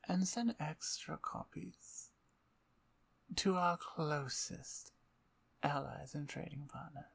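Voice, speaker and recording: A woman playing a dominant, playful vampire conqueror in an ASMR roleplay, with a breathy, near-whispered voice and an imperious, taunting manner. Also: low voice, takes it slowly, full character voice. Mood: commanding.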